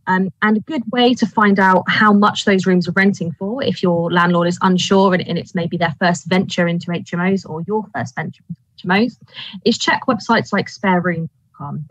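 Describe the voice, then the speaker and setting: A woman's voice: lowish voice, brisk, no echo, highs cut off. A woman giving an explanatory webinar presentation as a presenter.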